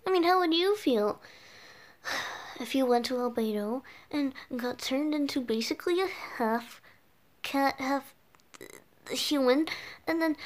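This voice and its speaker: A woman voicing a flustered, bashful boyish bard with cat ears in an ASMR roleplay, halting and stammering as the character talks to the listener. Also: high voice. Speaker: audio roleplay performer.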